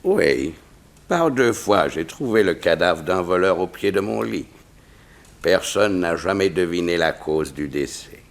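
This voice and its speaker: An actor playing a grave, dignified diplomat with a formal, measured delivery in a 1960s French radio serial. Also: male voice, low voice, animated.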